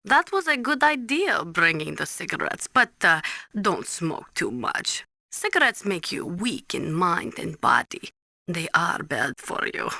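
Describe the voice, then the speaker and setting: A woman playing a tough, earnest Ukrainian nuclear weapons analyst in a video game, speaking deliberately and instructively with a Ukrainian accent. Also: lowish voice, sing-song, creaky, sharp tone, no room sound, studio quality, exaggerated voice. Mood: concerned, advising. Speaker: military analyst and nuclear specialist. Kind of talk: scripted game voice acting, briefing.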